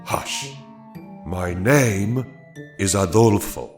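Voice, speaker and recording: An actor playing a secretive, self-important male spy in a dramatized audio story, with theatrical, drawn-out delivery. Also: low-pitched. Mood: indignant. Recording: roomy echo over background music.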